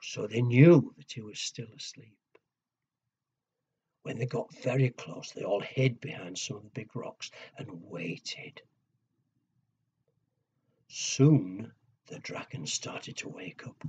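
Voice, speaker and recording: A man telling a story to young children, with a husky voice, hushed dramatic pauses and simple questions to his listeners. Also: mid-range voice. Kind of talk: children's storytelling and nursery rhymes. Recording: dull lo-fi recording.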